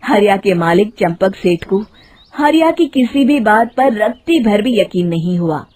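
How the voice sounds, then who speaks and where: A woman's voice: medium pitch, expressive, measured pace, a little mellow. A woman narrating a scripted moral tale in a dramatic storytelling style.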